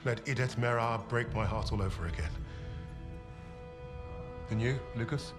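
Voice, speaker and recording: A man's voice: mid-range voice, moderate pace, music in the background, close to an everyday voice. An actor playing a wistful Allied soldier held prisoner in a war game, musing conversationally with his comrades. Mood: wistful daydreaming. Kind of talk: cinematic video game acting.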